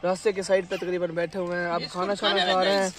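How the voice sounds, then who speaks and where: A man's voice: high voice, flat delivery, fast talker, a crowd in the background. Young Pakistani man vlogging on a hike. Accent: Pakistani Urdu. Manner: chatty, walking and climbing while talking. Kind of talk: casual hiking vlog narration. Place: outdoors on a wooded hill trail. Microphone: handheld phone camera.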